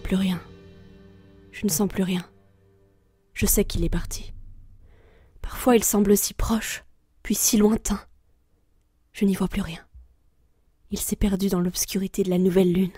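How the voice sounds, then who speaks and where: A woman's voice: medium pitch, flat delivery, a little slow, pauses often, dark tone, breathy edge, no room sound, faint music behind. An actress playing a weary, brooding female reaper of souls in an introspective audio drama narration. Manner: solemn, introspective.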